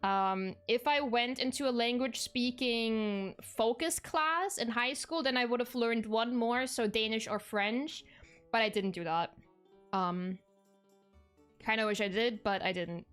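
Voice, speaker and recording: A young woman, her voice pitched a little above average and smooth, chats loosely with her viewers from a home streaming setup, trailing off and correcting herself as she goes. Soft music plays faintly underneath. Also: unhurried. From Germany. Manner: chatty, rambling, frequent ums and self-corrections. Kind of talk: casual chat with viewers.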